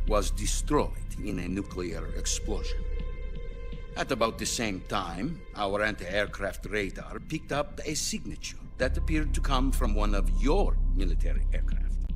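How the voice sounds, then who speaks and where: An actor playing a menacing older Soviet leader in a video game, speaking in a formal, deliberate way. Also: male voice, sits low. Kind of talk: video game cutscene acting.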